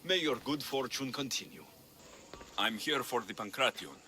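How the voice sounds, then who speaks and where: Bright, cocky mercenary. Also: male voice, mid-range voice, animated, normal speed. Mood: wry, businesslike. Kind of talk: video game dialogue acting.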